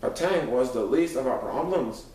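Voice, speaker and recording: A man's voice: medium pitch, lively intonation, normal speed, darkish tone, slight background noise. A man reading a first-person story aloud as a scripted narration. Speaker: audiobook narrator. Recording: echoey room, far from microphone.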